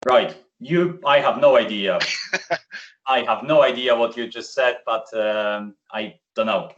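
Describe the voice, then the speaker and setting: A man whose first language is Polish, presenting a live software demo on a video call in a chatty way with many fillers. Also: mid-range voice, slow pace. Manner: chatty, filler-heavy, self-correcting. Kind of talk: live screen-share demo. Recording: distant, muffled video call audio.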